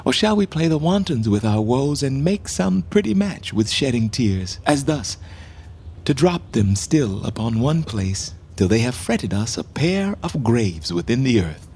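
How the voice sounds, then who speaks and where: A man's voice: medium pitch, big pitch swings, measured pace, darkish tone, a little hiss or hum. A man playing a proud, doomed king in a Shakespeare radio play, mocking and wry, dropping to whispers and flaring up. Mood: mournful, mocking. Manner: mocking, wry, swings between whispers and outbursts. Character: doomed proud male king, bitter and theatrical.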